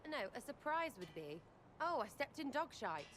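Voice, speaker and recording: An actress playing a brash, irreverent young elf archer in a video game, with a blunt, slangy manner. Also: female voice, high-pitched, big pitch swings, normal speed, a bit bright, a little hiss or hum. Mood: agitated, incredulous. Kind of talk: video game character acting.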